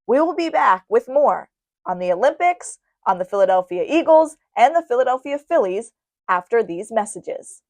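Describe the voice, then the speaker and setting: A woman's voice: a little high, lively intonation, normal speed, dry recording. Dry-sounding woman hosting sports radio. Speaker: sports radio show host. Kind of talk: sports radio commentary and recap. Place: radio studio.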